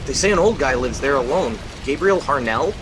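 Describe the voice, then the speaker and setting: A man's voice: mid-range voice, lively intonation, a little fast, background noise, close to an everyday voice. An actor playing a hard-boiled fireman in old-time radio style, calling out with blunt urgency. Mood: urgent. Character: gruff, hard-boiled male fireman on a rescue.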